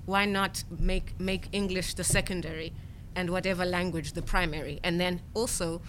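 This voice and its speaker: A woman's voice: fairly low pitch, steady tone, normal speed, a bit bright, a little hiss or hum, low-quality recording. A woman from Zimbabwe, a poet and storyteller, talking reflectively in conversation and asking herself questions. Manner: thoughtful, questioning, frequent murmured agreement.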